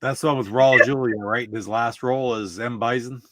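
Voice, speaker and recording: A man's voice: medium pitch, steady tone, moderate pace, fairly bright tone, dry recording. A man chatting casually as a panelist on a video game podcast. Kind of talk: casual chat.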